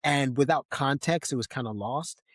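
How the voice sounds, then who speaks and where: A man's voice: mid-range voice, normal speed, a bit bright, no echo, clean studio sound. A man hosting a podcast, discussing films casually and conversationally, often tagging his points with a questioning "right?". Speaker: podcast host.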